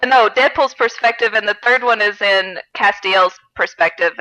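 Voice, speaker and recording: A woman co-hosting a podcast in casual comedic chat, joking in an animated, profane way. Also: mid-range voice, moderate pace, poor audio. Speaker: podcast co-host. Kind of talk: casual comedic chat and fan fiction reading.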